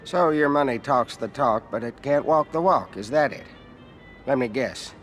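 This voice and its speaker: An actor playing an arrogant, condescending American senator in a video game cutscene, blunt and haughty in manner. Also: male voice, medium pitch, moderate pace, a bit bright, faint music behind, close to an everyday voice. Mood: contemptuous, blunt.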